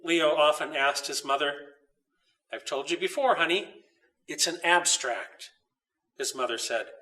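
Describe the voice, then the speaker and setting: A man reading a children's story aloud as its author, in a measured, deliberate way with pauses. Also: fairly high pitch, bright, forceful tone, recorded from a distance, low-quality recording. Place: old dairy barn.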